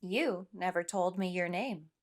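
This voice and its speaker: An actress playing a troubled young castaway woman, telling her story earnestly and with measured care in an audio drama. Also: on the low side, natural voice. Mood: questioning. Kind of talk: dramatic first-person audio drama narration.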